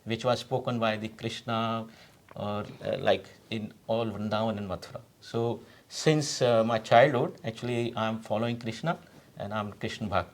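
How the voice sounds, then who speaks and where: A man's voice: fairly low pitch, steady tone, moderate pace. A man from India with an Indian accent and Braj Bhasha as his mother tongue, giving a personal account as an interview guest. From Rajasthan, India. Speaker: community representative, interview guest. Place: TV studio.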